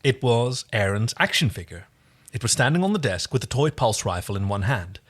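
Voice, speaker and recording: A man co-hosts a fan podcast with a mid-pitched voice that moves up and down a lot. He is playful and expressive, whether reading a story or chatting informally. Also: brisk. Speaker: podcast co-host and reader. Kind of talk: fan fiction reading and casual chat.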